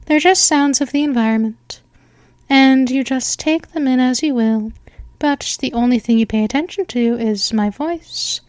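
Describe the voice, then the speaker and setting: A woman playing a seductive vampire mistress in a hypnosis roleplay, speaking softly and slipping into whispers. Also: on the high side, dry recording. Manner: slow, soft, hypnotic, with whispered stretches.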